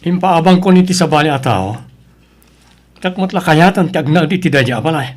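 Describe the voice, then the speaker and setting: A young father torn between family loyalties in an Ilocano audio drama. His voice sits a bit high for a man, and he answers evasively and defensively. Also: lively intonation, brisk. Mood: defensive, troubled. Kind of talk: Ilocano radio-style family drama acting.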